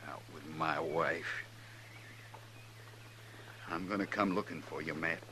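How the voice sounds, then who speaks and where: An actor playing a jealous, brooding husband, bitter and accusing, in a 1950s radio western. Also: male voice, rumbling low, steady tone, takes it slowly. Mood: menacing. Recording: faintly noisy 1950s radio recording.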